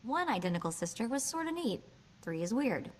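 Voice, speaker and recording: A woman voicing a quick-witted, sardonic young female hacker in a space video game, chatty in manner. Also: on the high side, sing-song, slight background noise. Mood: wry, uneasy.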